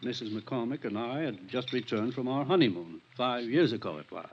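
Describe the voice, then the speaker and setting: A man's voice: medium pitch, animated, normal speed, slight background noise, natural voice. A man acting in a 1950s radio drama as a wealthy, genteel, evasive homeowner, speaking in a polite, formal, slightly haughty way. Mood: guarded, courteous. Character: genteel, wealthy, evasive male mansion owner. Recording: muffled 1950s radio recording.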